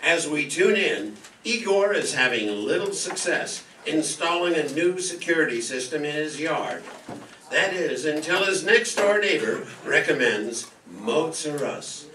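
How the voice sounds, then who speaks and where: Male MC, fairly high bright voice, scripted announcing from a live stage. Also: animated, a little slow. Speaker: master of ceremonies. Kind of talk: scripted radio-show announcing. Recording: echoey live stage, distant mic, faint noise.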